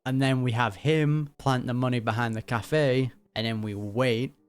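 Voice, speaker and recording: A man's voice: medium pitch, fairly even intonation, unhurried, clean tone. A man giving casual, slangy gaming reaction commentary in a joking, conversational way. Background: game music.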